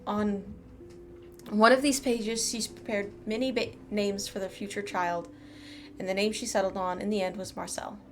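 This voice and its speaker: A woman's voice: mid-range voice, fairly even intonation, normal speed. A woman streaming a video game, casually thinking aloud and reading out what is in the game. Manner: thinks aloud in short remarks. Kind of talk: casual live playthrough commentary, reading game text aloud. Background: game music.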